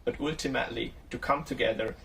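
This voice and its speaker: A man's voice: medium pitch, fast, creaky, a bit bright. A man who served on a world scout committee, giving a firm, earnest recorded video message. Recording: distant mic with faint background noise.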